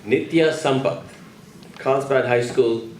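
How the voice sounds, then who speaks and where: A man's voice: medium pitch, slow, darkish tone. A man presenting at an awards ceremony, announcing the names of recipients to an audience in a hall. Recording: echoey hall, distant mic, crowd noise.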